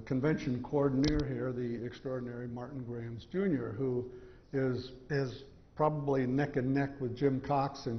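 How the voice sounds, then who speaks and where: A man's voice: medium pitch, slight background noise. A man with an American accent giving an informative talk to an audience, with hesitant delivery and dry humour. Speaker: author giving convention talk. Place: convention hall. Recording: slightly muffled hall recording, long reverb.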